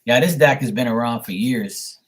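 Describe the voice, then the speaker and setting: A man with a husky voice talking casually on a live tarot reading stream, blunt and opinionated, rambling and swearing freely. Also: mid-range voice, moderate pace.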